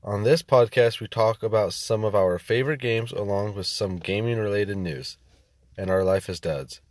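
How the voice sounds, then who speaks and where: Man, podcast host, lowish pitch, dark tone, dry sound, halting casual talk. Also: no echo. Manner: hesitant, halting with fillers. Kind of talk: casual chat.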